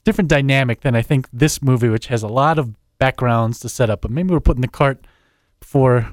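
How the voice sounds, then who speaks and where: Wry deadpan man summarizing a film. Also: mid-range voice, dry recording, studio quality. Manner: wry, deadpan, quick asides. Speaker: film critic guest. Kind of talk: casual chat, plot summary. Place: studio.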